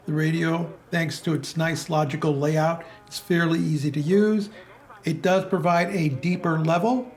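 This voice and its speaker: A man's voice: on the high side, vocal fry. A man presenting a product review as narration.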